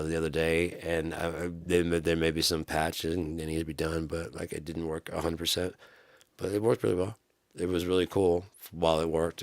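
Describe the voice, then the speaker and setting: A man with a deep, bassy voice rambling loosely to his channel's viewers, with lots of restarts and swearing. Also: dry recording. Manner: loose, profane, meandering with many restarts. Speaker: channel host. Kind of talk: rambling casual vlog.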